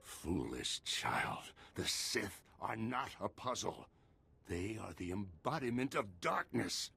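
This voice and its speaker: Gravelly, desperate old master. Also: male voice, lowish voice, big pitch swings, pushed and bright, faint music behind, heavily acted. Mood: stern. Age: older. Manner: dramatic, slow, pleading and scolding. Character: anguished, scolding old male Jedi master, desperate. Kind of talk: video game voice acting.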